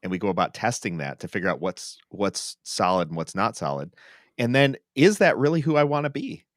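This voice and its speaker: A man's voice: lowish voice, very animated, fairly quick, no echo, studio quality. A man hosting a podcast in casual conversation, reflecting thoughtfully and affirming the person he talks with. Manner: affirming, reflective, checks in with "right?". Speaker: podcast host. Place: online video call for a livestream podcast.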